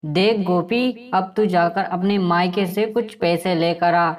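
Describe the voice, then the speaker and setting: A woman's voice: fairly low pitch, fairly even intonation, slow, faint music behind. Woman acting a bossy, greedy mother-in-law bird. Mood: stern, demanding. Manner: commanding, scolding. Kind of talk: Hindi moral-story cartoon dubbing.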